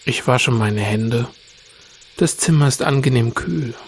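A man playing a weary, solitary bureaucrat who narrates his own life in a radio play, in measured, introspective, terse phrases. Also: mid-range voice, husky. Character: weary, solitary male bureaucrat with quiet grief. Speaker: narrator and lead actor in an audio drama.

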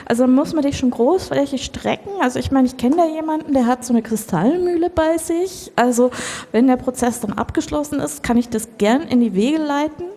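A woman's voice: high voice, brisk, warm tone. A woman playing a practical, matter-of-fact undertaking go-between in an improvised comedy radio play, businesslike and hedging. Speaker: improv performer. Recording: reverberant hall, live stage.

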